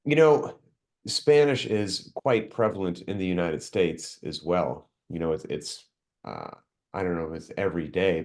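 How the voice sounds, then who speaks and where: A man hosting an interview podcast, curious and affirming, asking his guest questions in a casual way. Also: low-pitched. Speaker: podcast host.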